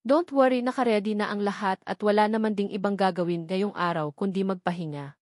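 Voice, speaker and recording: A woman's voice: medium pitch, fairly quick, darkish tone, no room sound, clean studio sound, natural voice. A woman with a Filipino accent playing a cheerful, eager old grandfather in an audiobook, genial and teasing.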